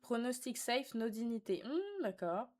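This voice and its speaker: A woman's voice: fairly high pitch, very animated, a little slow, a little mellow. Young woman, sassy live streamer. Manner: teasing, sassy, slangy. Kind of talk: casual live chat, reading and answering viewer comments.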